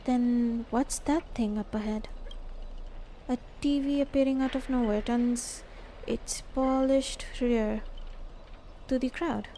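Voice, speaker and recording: A woman's voice: fairly high pitch, fairly even intonation, slow pace, dark tone, a little hiss or hum. A woman streamer reading a game's story dialogue aloud. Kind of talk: live stream read-aloud of game script. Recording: faint game music behind. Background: faint music.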